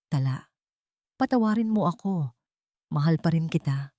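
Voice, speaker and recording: A woman's voice: deep voice, animated, normal speed, warm tone, no echo, clean studio sound. Woman voicing a scheming male fortune hunter. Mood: pleading.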